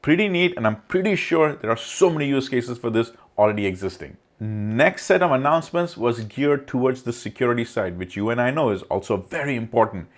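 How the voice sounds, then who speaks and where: A man's voice: mid-range voice, expressive, brisk, few pauses. Conversational man presenting a tech explainer. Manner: conversational, addresses the viewer directly. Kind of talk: informal explainer narration over slides.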